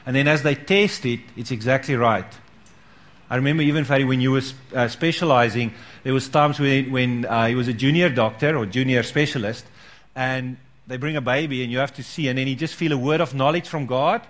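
A man's voice: mid-range voice, a little fast, a bit bright. A man leading a church gathering, inviting testimonies and encouraging people with repeated words of praise. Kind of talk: casual church meeting talk.

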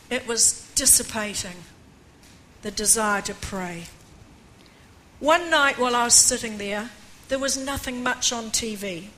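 An older woman preaching a personal testimony in an earnest way, with a croaky voice, reading a passage aloud. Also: on the high side, bright, forceful tone, a little hiss or hum, rough recording. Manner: earnest, reads aloud with rising emphasis. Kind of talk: testimony sermon.

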